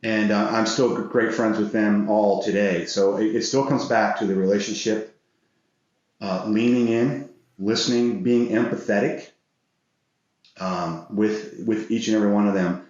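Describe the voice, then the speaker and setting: Low-voiced American man in an echoey room. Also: fairly even intonation, a little slow, darkish tone. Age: middle-aged. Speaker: interview guest, business executive. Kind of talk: reflective interview answers. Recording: very echoey room, slightly dull sound.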